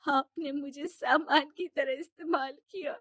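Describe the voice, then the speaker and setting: High, emphatic young daughter, acted by a woman. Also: fairly even intonation, measured pace, a bit bright, no room sound, studio quality. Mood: hurt, indignant. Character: pleading, defiant young daughter in love.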